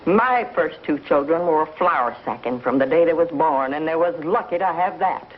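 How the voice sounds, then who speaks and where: A woman's voice: low-pitched, expressive, normal speed, husky. An actress playing a stern, blunt pioneer woman with rustic speech in a 1950s television western. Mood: disapproving, blunt. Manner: blunt, rustic grammar, scolding. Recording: muffled, noisy 1950s TV soundtrack.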